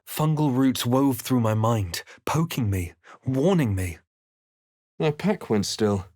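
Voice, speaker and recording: Composed, brooding fantasy adventurer, dry close sound. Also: male voice, medium pitch, animated, slow, a little mellow, no room sound. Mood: solemn, watchful. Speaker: video game player-character voice. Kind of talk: dramatic first-person narration and character barks.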